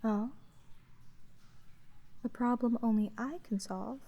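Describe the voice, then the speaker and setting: A woman playing an aloof, polite sea witch merchant in a slow ASMR roleplay, speaking formally in a deadpan monotone. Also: fairly high pitch, dull audio. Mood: composed, businesslike.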